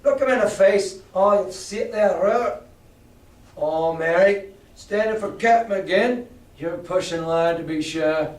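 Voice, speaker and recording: A furious pirate bellows his accusations in a high, strained roar, every phrase dragged out slow and heavy, as a man reading aloud puts him on. The shouting rings around an echoey room, heard from some way off the mic. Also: distant mic. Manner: roaring, screaming, drawn out. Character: furious rough male pirate, loud and accusing.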